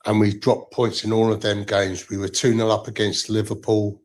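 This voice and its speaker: A male caller with a croaky voice from illness, talking through football in a measured, old-school way. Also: lowish voice, level tone, darkish tone. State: croaky from stomach flu. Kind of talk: call-in football chat.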